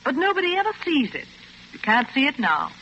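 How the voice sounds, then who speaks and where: Very high-voiced, earnest young woman. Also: very animated, a little fast, sharp tone, heavily acted. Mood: urgent, certain. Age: young adult. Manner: emotional, urgent. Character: earnest, insistent young woman, anxious but defiant. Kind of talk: 1940s radio drama acting. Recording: muffled, noisy 1940s radio recording.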